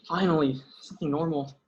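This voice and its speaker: A woman's voice: deep voice, steady tone, normal speed, darkish tone, echoey room, lo-fi sound, lightly acted. A woman playing a good-natured, hesitant young college guy in a comedy table read. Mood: bewildered. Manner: hesitant, puzzled, earnest.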